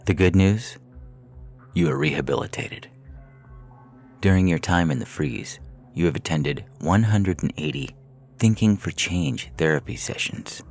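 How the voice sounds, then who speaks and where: A man reads a scripted story for a fiction podcast. His voice is extremely low, and music plays softly behind it. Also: unhurried, pauses often.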